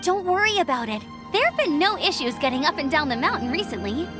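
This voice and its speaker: A woman's voice: high voice, sing-song, quick pace. An actress voicing a cheerful young female scout in a game, eager and upbeat. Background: game music.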